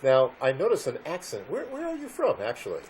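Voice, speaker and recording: A man hosting a business interview show, speaking haltingly with many fillers and "all right" asides. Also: mid-range voice, huge pitch swings, darkish tone, a little hiss or hum. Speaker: host.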